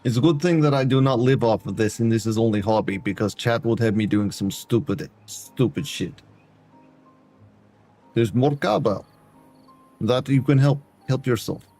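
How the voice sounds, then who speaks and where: A Canadian man chats through a game stream in a put-on foreign accent, clipping away his articles. His voice is mellow and a little husky. Faint music plays behind him. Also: mid-range voice, normal speed. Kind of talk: casual gameplay chat with viewers.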